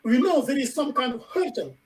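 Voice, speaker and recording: A man hosting a talk radio program, speaking haltingly with many fillers and repeats. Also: very high-pitched, animated, darkish tone. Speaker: radio show host. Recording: distant from the mic.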